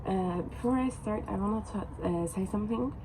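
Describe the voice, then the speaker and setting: A woman's voice: mid-range voice, a little fast. Young turkish woman vlogging about books, lots of ums and restarts, noisy background hum. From Turkey. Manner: hesitant, many fillers and restarts. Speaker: book vlogger. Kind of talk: casual chat to camera.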